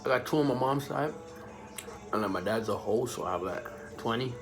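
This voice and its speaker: A young man from the Bahamas chats through a vlog Q&A with a laid-back, joking manner and plenty of street slang. His voice sits at an everyday middle pitch. Music plays clearly behind him. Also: normal speed. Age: young adult. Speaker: vlogger answering viewer questions. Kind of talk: casual slangy Q&A chat.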